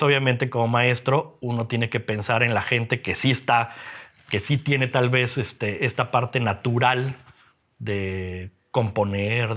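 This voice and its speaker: A middle-aged man with a Mexican accent, talking reflectively in an interview, haltingly and with frequent tag questions. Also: mid-range voice, a bit bright. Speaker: interviewed graphic designer and teacher. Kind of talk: reflective personal interview talk.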